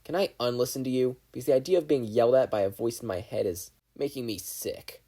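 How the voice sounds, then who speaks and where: A performer voicing a proud, hot-tempered teenage boy who sounds gruff and irritable. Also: medium pitch, quick pace, mellow, natural voice. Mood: irritated.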